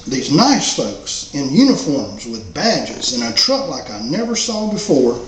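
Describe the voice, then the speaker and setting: A man narrates as a humble, hopeful stray dog, with folksy country phrasing. His slightly high voice swings widely in pitch as he tells the story. The recording is roomy and echoey. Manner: folksy, drawling rural phrasing. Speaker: author reading own story. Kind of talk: first-person character storytelling. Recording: roomy echo, faint background noise.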